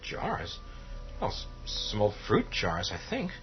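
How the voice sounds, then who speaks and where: A man's voice: lowish voice, wildly swooping pitch, takes it slowly, lo-fi sound, soft background music, exaggerated voice. An actor playing a nervous, helpful young man in a horror radio drama, hesitant but eager.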